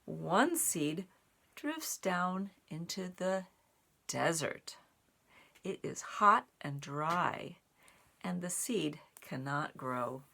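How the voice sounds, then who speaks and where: A woman reading a children's picture book aloud, phrasing it deliberately in short pieces with animated emphasis. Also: on the low side, creaky. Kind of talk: expressive read-aloud for young children.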